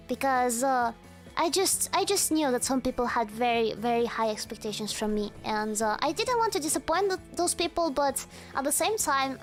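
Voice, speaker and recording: A young woman streamer with a hard-to-place mixed accent, chatting casually to her viewers with lots of fillers and laughter. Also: sits high. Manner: talks to chat, frequent laughter and fillers. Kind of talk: casual livestream chat.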